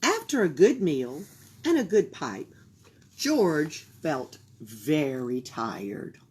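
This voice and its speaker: A woman reading a children's picture book aloud for a library storytime, expressive and sometimes dropping into a whisper. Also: sits low, slow, slightly rough. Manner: expressive, drops into whispers for suspense.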